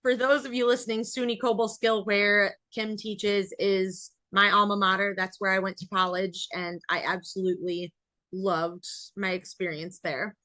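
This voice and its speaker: Female American podcast host, bubbly and informal, bright tone, dry recording. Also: medium pitch, flat delivery, moderate pace, no echo. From New York, United States. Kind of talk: casual chatty interview.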